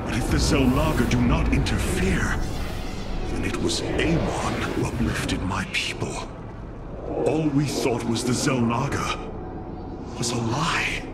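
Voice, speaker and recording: A young alien warrior leader from a video game, noble and solemn. He speaks slowly and formally, with stately earnestness. Loud game music plays behind him. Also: medium pitch, expressive. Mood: solemn, reverent. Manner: formal, stately, measured delivery. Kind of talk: dramatic video game voice acting.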